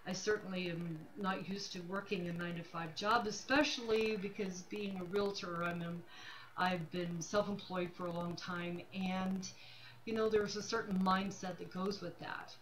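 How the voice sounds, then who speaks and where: A woman's voice: lowish voice, fairly even intonation, moderate pace. Woman near sixty, noisy room recording. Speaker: livestream host, self-employed realtor. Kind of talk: casual personal talk to camera.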